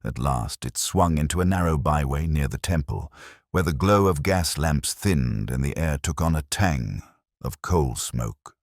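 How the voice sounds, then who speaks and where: Really low male voice reading a detective story, measured storyteller style, lots of movement in the pitch, dry sound. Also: expressive, dry recording. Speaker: audiobook narrator. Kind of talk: scripted first-person mystery narration.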